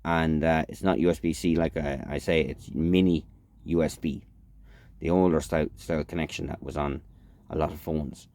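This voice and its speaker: A man giving a casual, unscripted product demonstration as a reviewer, in a halting, matter-of-fact way. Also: rumbling low, fairly even intonation, darkish tone, no room sound.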